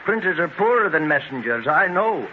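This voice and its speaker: Young Scot, ambitious, earnest, argumentative, slightly high voice, 1930s radio drama, dull noisy transcription. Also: male voice, big pitch swings, normal speed. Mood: earnest, confident.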